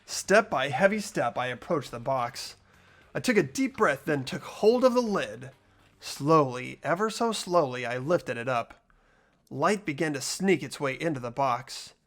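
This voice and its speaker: A man playing an earnest, hesitant, anxious high school boy in an amateur video game dub, narrating and speaking in character. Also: animated, unhurried, a bit bright. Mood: tense. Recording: music behind the voice.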